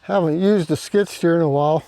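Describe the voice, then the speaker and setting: A man hosts an outdoor work vlog in a fairly high, gravelly voice. His tone is dark and mellow, and he talks casually as he narrates what he is doing. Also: fairly quick, no room sound, rough recording. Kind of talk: casual narration while working. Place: outdoors on a rural property.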